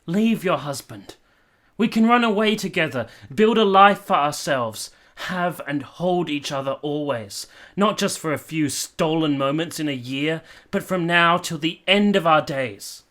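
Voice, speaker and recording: A man's voice: a little high, a little slow, a bit bright. An actor playing an ardent, stubborn young man in love in a period radio drama, earnest and pleading with formal phrasing. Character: ardent, stubborn young male lover from a village.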